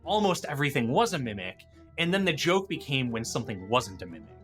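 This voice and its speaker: A man in a casual gaming discussion, talking as an experienced dungeon master in an animated, opinionated way. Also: mid-range voice, fast, soft background music.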